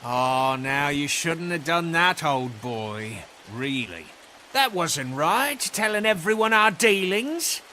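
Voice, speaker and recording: A sneering game villain with a high, piercingly bright voice that swings up and down. He is theatrical and mock-courteous, taunting with menace over a hiss of rain. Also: animated, slow pace, exaggerated voice. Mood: menacing disdain. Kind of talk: video game voice acting.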